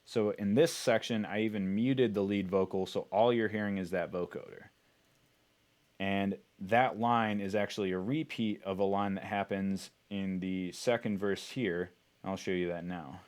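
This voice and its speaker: Low-pitched man giving a casual tutorial. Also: fairly even intonation, moderate pace, darkish tone. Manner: informal, explanatory. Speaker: music producer presenting a tutorial. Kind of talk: casual instructional walkthrough.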